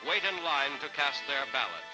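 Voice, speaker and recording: Male newsreel narrator, 1940s style: formal, declamatory, fairly high voice, loud music underneath on an old soundtrack. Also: sing-song, normal speed, low-quality recording. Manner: formal, declamatory announcer delivery. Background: loud background music.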